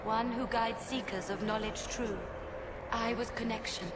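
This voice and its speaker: A woman voices a solemn, courteous spirit guide from a fantasy game. The voice is fairly high and echoes, with formal, cryptic phrasing. Loud ambient noise sits behind it. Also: steady tone, a little slow, close to an everyday voice. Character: ancient ethereal spirit archivist, courteous and solemn. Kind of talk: video game drama acting.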